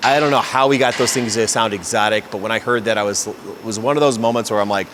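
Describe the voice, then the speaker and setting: A man's voice: fairly low pitch, fast, background noise. A man hosting a casual question-and-answer explainer, talking in a conversational, explanatory way like a shop fabricator. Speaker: host, exhaust shop fabricator.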